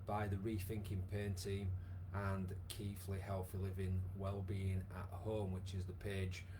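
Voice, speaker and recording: A man with a croaky voice, leading a live online exercise class with many fillers. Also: deep voice, monotone, unhurried, noisy background. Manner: chatty, encouraging, lots of fillers. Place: home room with wall and bar. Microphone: device camera, moves away at times.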